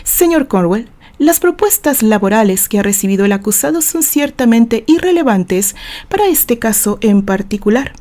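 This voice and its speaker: A woman's voice: a little high, lively intonation, moderate pace, dark tone, natural voice. A woman voicing a stern male judge in an audio novel, speaking with formal, commanding authority. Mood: stern, measured.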